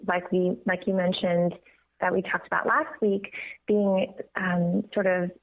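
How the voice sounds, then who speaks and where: Woman guest on a tinny phone line. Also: lowish voice. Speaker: guest, voice coach. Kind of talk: conversational radio interview.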